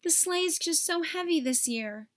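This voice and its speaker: A woman's voice: very high-pitched, expressive, measured pace, pitched above the performer's own voice. A woman voicing a weary, worried sleigh horse in a storybook, apologetic and fretful. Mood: weary apology.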